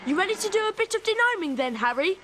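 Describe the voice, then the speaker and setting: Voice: very high-pitched, very animated, fast, background noise. An actor playing an eager, friendly young schoolboy wizard with a British accent in a video game.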